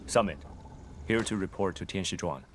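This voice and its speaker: An actor playing a stern, blunt special task force soldier in a video game, reporting formally and matter-of-factly. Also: male voice, deep voice, normal speed, a bit bright, slight background noise. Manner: formal, clipped, matter-of-fact military reporting. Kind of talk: video game cutscene acting.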